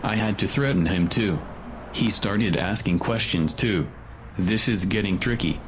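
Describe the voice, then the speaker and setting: A man's voice: on the low side, a little slow, noisy background, natural voice. A man playing a wry, matter-of-fact secret agent and reluctant conspirator in a sci-fi audio drama. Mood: uneasy.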